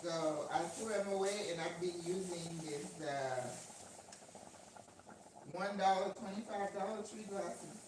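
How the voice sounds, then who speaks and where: Older woman, low voice, chatty cooking host, roomy kitchen echo. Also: a little slow. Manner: chatty, talks to viewers and laughs often. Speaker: channel host cooking live. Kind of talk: casual chat while cooking. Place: home kitchen. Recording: echoey kitchen with faint background noise.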